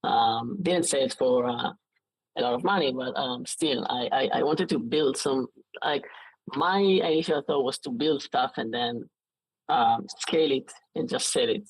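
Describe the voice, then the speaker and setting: A man in his late twenties with an Israeli accent, talking casually as an interview guest in a halting way with frequent false starts. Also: medium pitch, husky, dry recording, rough recording.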